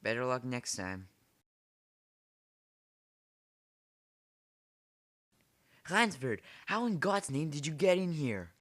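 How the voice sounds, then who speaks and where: A man's voice: medium pitch, big pitch swings, normal speed, fairly bright tone, dry recording, studio quality. A man playing an urbane, smug aristocratic general in an amateur drama, speaking in a formal, genteel manner. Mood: gracious, amused. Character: urbane aristocratic male general who hunts people for sport. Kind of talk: amateur short story dramatization.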